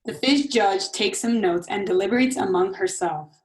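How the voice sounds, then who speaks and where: A woman's voice: mid-range voice, moderate pace, poor audio. A young woman reading stage directions as scripted narration for a student radio play. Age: young adult.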